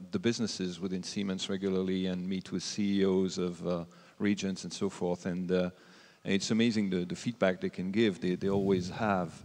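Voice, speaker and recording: A man speaking on a conference panel, hesitant and full of fillers, on a large hall stage. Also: low voice. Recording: long hall reverb.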